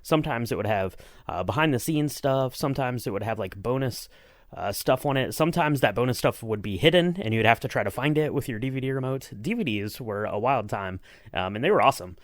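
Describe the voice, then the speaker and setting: A man's voice: medium pitch, lively intonation, a little fast, no room sound, studio quality. A man co-hosting a podcast, talking in an enthusiastic, rambling, conversational way. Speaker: podcast co-host. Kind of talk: casual podcast chat.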